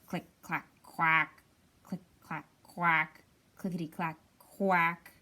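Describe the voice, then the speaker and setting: A woman voicing busy storybook cows and ducks, chanting clacking sounds and animal calls in a steady rhythm. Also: lowish voice, slow pace, voice pushed down. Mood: busy, rhythmic.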